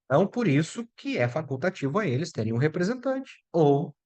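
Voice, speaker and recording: A middle-aged lawyer with a Brazilian Portuguese accent explaining immigration rules in a chatty, direct way, talking straight to the viewer. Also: male voice, a little high, sing-song, a little fast, darkish tone. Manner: chatty and direct, addresses viewer as "tu" and "meu filho". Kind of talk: casual explainer video.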